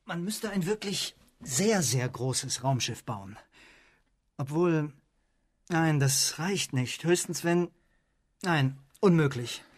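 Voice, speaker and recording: An actor playing an excitable, sceptical male scientist in a radio play, who thinks aloud in hesitant bursts. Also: a little high, slow pace. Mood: tentative, brainstorming. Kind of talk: German radio play acting.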